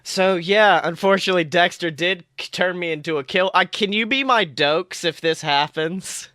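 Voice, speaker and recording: A woman co-hosting a podcast, bantering casually about a TV show with excitable, dramatic emphasis. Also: on the low side, normal speed, dry recording, clean studio sound. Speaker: podcast co-host.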